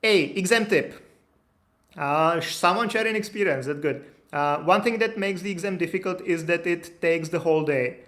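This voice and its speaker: A man's voice: sits high, moderate pace, fairly bright tone. A man in his thirties hosting a live stream, reading out viewer questions and answering them in a loose, chatty way, with lots of fillers and restarts. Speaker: immigration consultant answering live chat questions. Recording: echoey room.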